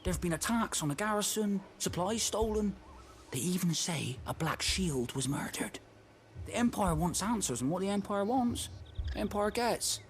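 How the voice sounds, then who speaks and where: A woman's voice: medium pitch, lively intonation, normal speed, a little hiss or hum, lightly acted. An actress playing a gossipy, knowing village woman in a fantasy video game, speaking colloquially and with animation. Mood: confiding. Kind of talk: scripted video game acting.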